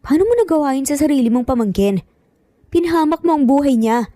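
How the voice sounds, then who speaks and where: A woman's voice: sits high, expressive, a little fast, dark tone, no echo, clean studio sound, pitched above the performer's own voice. A woman voicing an angry, defiant captive mother in an audio story, scolding her captor.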